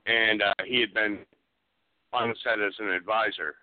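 Male guest, slightly low voice, halting, self-correcting, casual recollection, narrow phone sound. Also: fairly even intonation, no echo. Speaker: interview guest. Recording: dry phone-like line.

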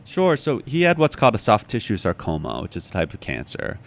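Low-voiced, thoughtful American man. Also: huge pitch swings, a little fast, vocal fry. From United States, Chicago. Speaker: author, interview guest. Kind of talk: reflective conversational interview.

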